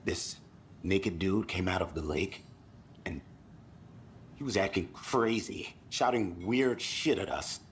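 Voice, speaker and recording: A rattled tourist witness. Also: male voice, low voice, slow, long pauses, slight background noise. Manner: blunt, rattled. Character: jittery male tourist witness.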